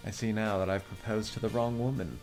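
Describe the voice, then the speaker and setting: A man's voice: lowish voice, steady tone, brisk, a little mellow, faint music behind, natural voice. A man playing a sly, flirtatious villainous duke who sweet-talks insincerely in an audio drama. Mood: feigned apology. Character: sly, lecherous, smooth-talking villainous duke.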